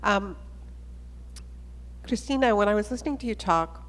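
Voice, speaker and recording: A woman's voice: mid-range voice, lively intonation, moderate pace, in a large hall, a little hiss or hum, poor audio. A woman moderating a conference panel, asking long, thoughtful questions. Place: conference plenary hall.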